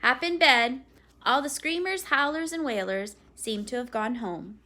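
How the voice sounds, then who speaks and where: A woman voicing a calm, patient father who gently teases his daughter with dry jokes. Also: sits high, unhurried, full character voice. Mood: gently teasing. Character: calm, teasing father, a lawyer.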